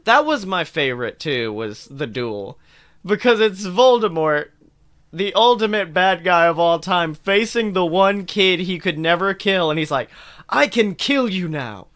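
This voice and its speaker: Guy podcaster, casual and sweary, jokey reactions, clean smooth voice, really dry sound. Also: high voice, a little slow, no echo. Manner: profane, jokey, animated reactions. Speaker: podcast co-host. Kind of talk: casual banter and mocking fan fiction read-aloud.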